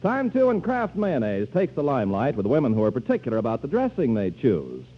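A man's voice: mid-range voice, wildly swooping pitch, brisk, a little hiss or hum. A man announcing in the polished style of 1940s American radio. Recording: dull, muffled 1940s radio recording.

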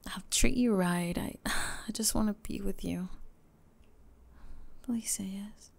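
A woman voicing a teenage goth bully with a secretly tender, flustered side, in an ASMR romance roleplay full of giggles and hushed confessions. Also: medium pitch, slow pace, exaggerated voice. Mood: vulnerable, tender. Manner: breathy giggles, hushed confessions, playful sarcasm.

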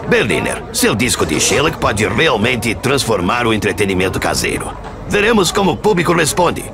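A creaky-voiced man playing a formal, cautious investor in a radio drama, his pitch moving widely. There is clear noise on the recording. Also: big pitch swings, moderate pace. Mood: intrigued, cautious. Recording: noisy, hissy track.

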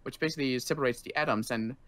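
A man playing a tired, injured survivor in a zombie game roleplay, speaking in a halting, dry, curt way. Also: mid-range voice, fairly bright tone, no room sound, close to an everyday voice. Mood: dry, explaining. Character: weary, grudging injured male survivor of a zombie apocalypse. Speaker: roleplay player.

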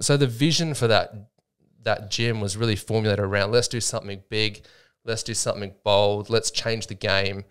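A chatty Australian man in his thirties, recorded close on a studio microphone in a small podcast studio. His voice sits fairly low and comes through clear and smooth, and he laughs often and leans on fillers as he tells the story. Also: fairly bright tone. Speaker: gym co-owner, guest. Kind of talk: casual storytelling interview. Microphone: studio mic with headphones.

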